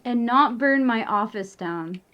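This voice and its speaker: A young woman talks casually on a live stream with a somewhat high voice. She rambles, leans on "like" fillers and swears now and then. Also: animated, a little slow, highs cut off.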